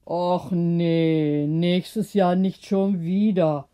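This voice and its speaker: A woman's voice: lowish voice, steady tone, drawn-out words, mellow. Woman putting on Rudi, a grumbling family man. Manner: grumbling, sceptical. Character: grumbling, put-upon family father Rudi. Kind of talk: acted audiobook dialogue.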